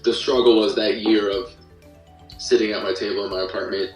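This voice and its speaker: A man's voice: fairly low pitch, fairly even intonation, a little fast, faint music behind. A young American man chatting casually as an interview guest, explaining things in a rambling, self-interrupting way. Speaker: podcast guest, musician and audio drama creator. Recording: roomy echo, distant from the mic.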